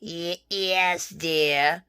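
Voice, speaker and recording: A woman's voice: fairly low pitch, steady tone, extremely slow, bright, forceful tone, smooth, dry recording, clean studio sound, pitched below the performer's own voice, heavily acted. A woman voicing a sly male wolf who poses as an old grandmother, scratchy, wavering and coaxing, in a storybook tale. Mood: feigning illness.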